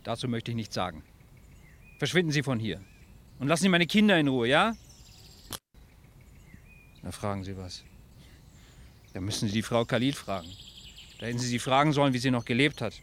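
A man's voice: medium pitch, animated, fairly quick, pauses often, a bit bright, slight background noise, close to an everyday voice. An actor playing a bitter, defensive neighbour and father, speaking in a brusque, colloquial way in a documentary-style radio play. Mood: curt, hostile.

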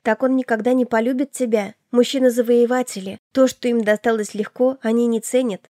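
A woman's voice: on the high side, steady tone, a little fast, warm tone, no room sound, clean studio sound, close to an everyday voice. A woman voicing a caring, protective elder sister in her twenties in an audiobook, firm, reassuring and motherly. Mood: persuasive. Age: 20s. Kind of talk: audiobook character dialogue.

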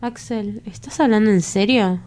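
A woman's voice: mid-range voice, moderate pace, darkish tone, natural voice. A woman playing a sceptical young heiress in an audio drama, wry and composed, with an Argentine accent. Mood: wry disbelief. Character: sceptical, cool young rich kidnapped woman.

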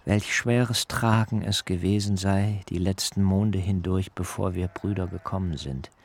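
A man's voice: sits low, fairly even intonation, a little slow. An actor playing a reflective biblical son who recalls his mother's tales in a literary, storytelling manner for a radio drama.

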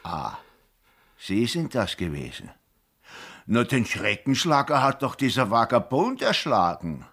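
Slippery politician, self-righteous and defensive, very up and down voice, radio play. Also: male voice, medium pitch, huge pitch swings, a little slow, vocal fry, fairly bright tone, full character voice. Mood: self-justifying. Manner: defensive, blustering, self-justifying. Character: evasive, self-righteous politician with a guilty wartime past. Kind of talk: radio drama acting.

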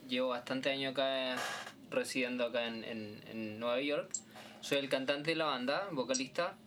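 Man, mid-pitched, casual talk. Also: normal speed, fairly bright tone, slight background noise. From Chile. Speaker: singer, band guest. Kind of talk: casual interview chat.

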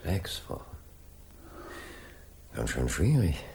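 Nosy middle-aged cop in old radio play, gravelly, pitch jumps all over, bit of background noise. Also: male voice, sing-song, slow, darkish tone, full character voice. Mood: probing. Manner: inquisitive, insistent questioning. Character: probing, persistent middle-aged police inspector with teenage son. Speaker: actor in a radio crime drama. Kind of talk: 1980s German radio drama acting.

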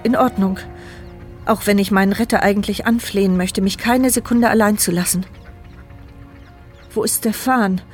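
A woman's voice: mid-range voice, fairly even intonation, moderate pace, pauses often, soft background music, natural voice. A woman voicing a paralyzed young woman's inner monologue. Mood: anxious. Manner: intimate, reflective inner narration. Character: paralyzed mute young woman narrating inwardly. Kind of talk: radio play inner monologue.

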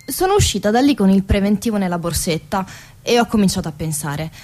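Actress as a wry, worn-out factory worker. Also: female voice, medium pitch, lively intonation, fairly quick, noisy background, natural voice. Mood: pensive. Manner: ironic, emphatic repetitions. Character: exhausted, bitterly ironic woman factory worker. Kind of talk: radio theatre monologue.

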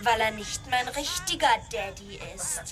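A woman's voice: on the high side, expressive. Unhinged rich girl in a radio drama, coy n childlike, drags her words out, music behind. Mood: coy, girlish. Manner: slow, coquettish, childlike.

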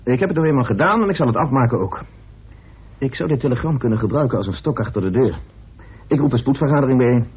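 A man's voice: mid-range voice, expressive, fast, breathy edge. An actor playing a determined, authoritative committee chairman in an old radio play. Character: committee chairman, a determined, brisk British parliamentarian. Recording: scratchy lo-fi old radio recording.

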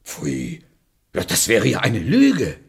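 A man playing an anxious, soft-hearted royal huntsman in a fairy-tale radio play, halting, solemn and pleading as he obeys. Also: lowish voice, huge pitch swings, vocal fry, slightly rough, exaggerated voice. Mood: torn, worried.

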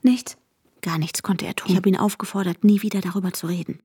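A woman's voice: mid-range voice, steady tone, fast, dark tone, husky, lightly acted. An actress in a radio drama playing a traumatised, obsessive woman who narrates her ordeal intensely and breathily, often dropping to a whisper. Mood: urgent, agitated. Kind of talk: radio drama acting with inner monologue.

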